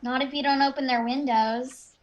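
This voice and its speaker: Woman playing high-pitched eager little kid. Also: fairly even intonation, normal speed, highs cut off, close to an everyday voice. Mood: insistent. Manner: short, childlike replies. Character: small eager, plain-spoken child. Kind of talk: audio drama acting.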